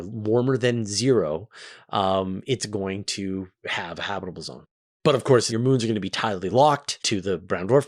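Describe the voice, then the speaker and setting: A man talking casually and emphatically to viewers as the host of a space Q&A show, explaining things with enthusiasm. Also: on the low side.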